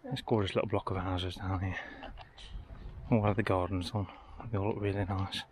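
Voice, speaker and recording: A man's voice: low voice, flat delivery, moderate pace, a little mellow. A man giving casual, informal vlog commentary, thinking aloud as he walks outdoors. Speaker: travel vlogger. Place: outdoors, walking around a village churchyard.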